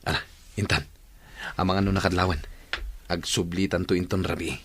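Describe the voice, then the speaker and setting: A man's voice: low-pitched, little pitch movement, fairly quick, a bit bright, slight background noise. A man playing a wary, scheming burglar ringleader in an audio drama, guarded and giving instructions. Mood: cautious.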